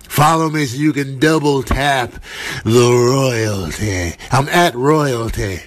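A man's voice: medium pitch, slow pace, a crowd in the background, natural voice. A man voicing a haughty, self-important pet with a pompous drawl in a comic animal voice-over.

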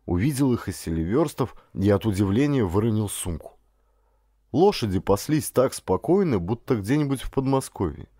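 A man reading an adventure novel as a dramatic audiobook narrator, in an expressive, deliberate storytelling manner. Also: lowish voice, dry recording, studio quality.

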